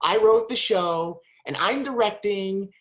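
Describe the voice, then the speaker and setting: A woman's voice: medium pitch, measured pace. A woman, the play's writer and director, speaking to the audience in a flustered way. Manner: flustered, breaks the fourth wall. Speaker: playwright and director.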